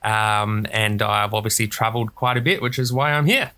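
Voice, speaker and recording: An Australian man from Australia, a guest author telling his story in a relaxed interview, with many ums and uhs. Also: lowish voice, normal speed. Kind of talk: casual interview.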